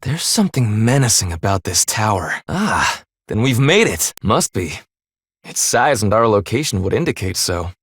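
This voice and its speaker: A man's voice: on the low side, sing-song, moderate pace, a bit bright, slightly rough, no echo, clean studio sound, exaggerated voice. A man voicing a stern, blunt young half-human leader in a video game, speaking in a clipped, formal, commanding way. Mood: businesslike. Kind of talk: English video game voice acting.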